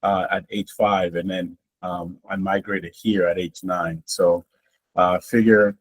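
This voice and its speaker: A Honduran-born man in his sixties whose first language is Garifuna, telling his life story in an interview in a relaxed, rambling way with many fillers and restarts. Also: sits low, takes it slowly, husky. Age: 60s. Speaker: guest.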